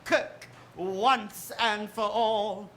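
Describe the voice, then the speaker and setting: A woman playing a grandiose, vengeful warlord villain in a staged musical parody, taunting with menacing, drawn-out theatrical emphasis. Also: mid-range voice, steady tone, a bit bright. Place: live stage.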